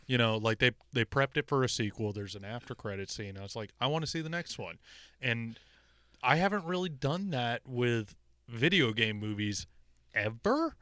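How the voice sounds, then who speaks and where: Bright, forceful man chatting casually. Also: mid-range voice, moderate pace, no echo, clean studio sound. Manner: rambling, animated, sweary. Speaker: podcast co-host. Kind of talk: casual opinionated film and game chat.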